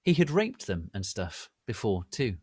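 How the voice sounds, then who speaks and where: Animated low-voiced man reading a story. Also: unhurried, breathy edge, dry recording, clean studio sound. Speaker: narrator reading fan fiction aloud.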